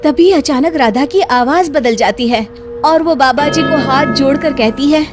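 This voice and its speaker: A woman's voice: high voice, measured pace, over music. A woman narrating a scripted moral story for children.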